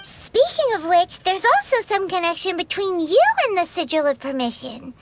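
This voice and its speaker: A woman's voice: very high voice, lively intonation, normal speed, heavy background noise. A woman voicing a tiny floating female fairy companion, bubbly and greedy, who talks about herself in the third person. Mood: curious. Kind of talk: video game dialogue. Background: game music.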